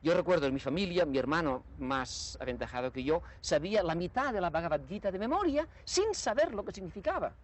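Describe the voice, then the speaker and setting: A man from Spain in his sixties, speaking in a lively, emphatic way and often restarting his sentences in a televised conversation. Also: fairly high pitch, a little fast, hardly any pauses. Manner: lively, emphatic, repeats himself when starting a sentence. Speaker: guest scholar. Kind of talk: conversational discussion.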